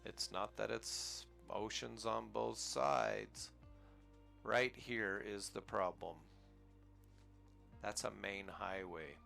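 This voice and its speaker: A man's voice: lowish voice, expressive, slow, vocal fry, long pauses, pushed and bright, faint music behind. An American man casually commenting on his flight simulator gameplay in a rambling, easygoing way, chuckling at himself now and then. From United States. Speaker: flight sim gaming channel host.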